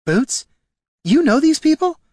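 A man voicing a naive young noblewoman in an audiobook, fretful and highly emotional. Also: big pitch swings, slow pace, dry recording, clean studio sound, exaggerated voice. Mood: hurt disbelief. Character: naive, panicky young noblewoman, tearful and indignant. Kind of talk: audiobook character dialogue.

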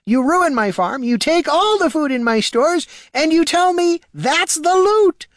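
A man voicing a gnarled, aggrieved old farmer with a high, strained rustic voice in an audiobook. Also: animated, a little slow, a bit bright, no room sound, studio quality, full character voice. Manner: pleading, indignant outbursts. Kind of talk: audiobook character voice.

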